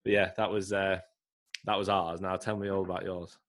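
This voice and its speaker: A man co-hosting a podcast, croaky and ill, chatting casually with lots of fillers. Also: low voice, flat delivery, normal speed, a bit bright. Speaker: podcast co-host. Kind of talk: casual chat.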